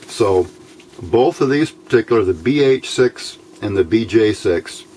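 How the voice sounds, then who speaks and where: A man with a fairly low voice presents an informal hands-on electronics tutorial. He speaks very slowly and haltingly, thinking aloud as he goes. There is some faint noise behind him. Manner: halting, thinking aloud while handling parts.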